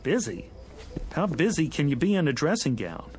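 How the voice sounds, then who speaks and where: Desperate romantic suitor: lively, highish voice, effusive vintage TV drama, light music underneath. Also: male voice, moderate pace. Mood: pleading. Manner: eager, effusive. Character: lovesick, desperate, impetuous young suitor. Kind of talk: vintage television drama acting.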